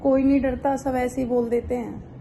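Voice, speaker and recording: A woman's voice: high-pitched, flat delivery, moderate pace, mellow, slight background noise. A woman playing an aggrieved, guilt-tripping shopkeeper on a prank call, plaintive, insistent and a little hesitant. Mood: reproachful, wounded. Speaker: prank caller. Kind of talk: improvised prank phone call.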